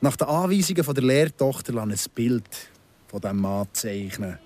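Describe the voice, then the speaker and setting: An actor playing a vengeful, patient ex-convict in his thirties in a radio drama, speaking Swiss German in a deliberate, sardonic way. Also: mid-range voice, animated, darkish tone, natural voice. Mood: grim satisfaction. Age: 30s.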